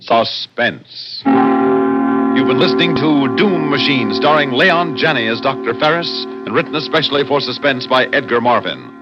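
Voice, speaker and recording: Formal American radio announcer, muffled vintage sound. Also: male voice, medium pitch, lively intonation. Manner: formal, dramatic, deliberate. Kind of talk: 1960s radio drama announcing, scripted. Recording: vintage band-limited radio with music.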